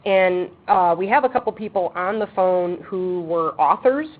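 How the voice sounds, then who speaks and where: A woman chairing a webinar for an advisory board, talking briskly and practically with frequent ums. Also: lowish voice. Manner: says she has a loud voice. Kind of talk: webinar presentation. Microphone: speakerphone on a table. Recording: tinny phone line with faint background noise.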